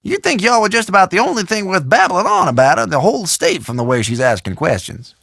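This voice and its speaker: A man's voice: sits high, sing-song, fast talker, vocal fry, fairly bright tone, dry recording, studio quality, exaggerated voice. A man playing a chatty, forgetful small-town shopkeeper in a video game, with a folksy, theatrical Southern country drawl. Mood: friendly, chatty. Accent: American Southern or South Central country.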